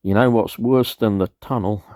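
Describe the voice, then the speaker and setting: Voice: man acting a shaken, halting injured railway worker. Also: on the low side, warm tone, no room sound, clean studio sound, voice pushed up, natural voice. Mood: shaken, grim. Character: injured, dazed male railway worker trapped in disaster. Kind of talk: radio play disaster dialogue.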